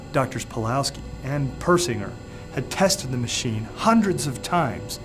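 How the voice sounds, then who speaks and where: A man's voice: medium pitch, poor audio. Suspenseful male audiobook narrator. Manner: measured, suspenseful storytelling. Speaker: author reading his own story.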